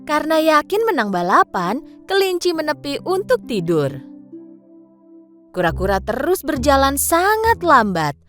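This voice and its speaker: High, lively woman storyteller. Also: a little slow. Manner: expressive, sing-song storytelling. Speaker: narrator. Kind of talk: scripted storybook narration for children. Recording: faint music behind. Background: faint background music.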